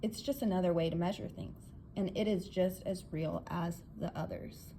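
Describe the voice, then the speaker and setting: A woman reads as a thoughtful doctor in a storybook, a reasonable man weighing ideas. The delivery is measured and deliberate, with some background noise. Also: fairly low pitch, dark tone, lightly acted. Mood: thoughtful, agreeing.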